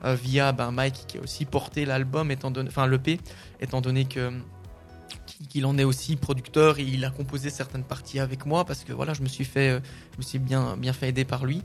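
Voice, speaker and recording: A man's voice: medium pitch, flat delivery, fairly quick, faint music behind. A French-speaking man, a singer-songwriter, answering interview questions casually with many fillers and restarts.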